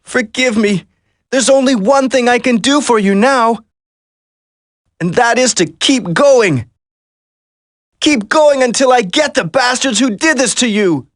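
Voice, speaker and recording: A man's voice: very high-pitched, animated, unhurried, long pauses, no echo, clean studio sound. A man playing an earnest, determined secret agent hero in a dramatic video game, speaking emphatically. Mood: grieving, determined.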